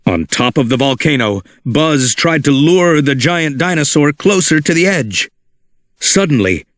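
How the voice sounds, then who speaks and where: Male read-along narrator, lively and unhurried, dry close studio sound. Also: a little high, no room sound. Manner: animated, expressive reading for children. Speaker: storybook narrator.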